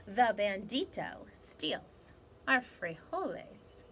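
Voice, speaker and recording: A little male Chihuahua from a storybook, played by a woman, explaining things in a confiding, matter-of-fact way. The delivery is slow and deliberate. There is faint noise behind the voice. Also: medium pitch, very animated. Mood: grave explaining.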